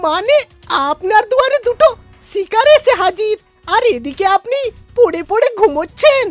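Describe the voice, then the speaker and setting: A woman's voice: very high-pitched, expressive, moderate pace, dry recording, soft background music. A woman voicing a sly, wheedling fox lackey in a children's cartoon, with a fawning, affected manner.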